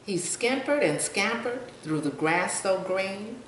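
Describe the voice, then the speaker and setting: An older woman reads a picture book to children in a slow, highly varied voice. She pauses to ask questions and point out pictures. The sound is echoey and a little far from the mic, with faint noise. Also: animated, fairly bright tone. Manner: asks young listeners questions, points out pictures. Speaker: storytime reader.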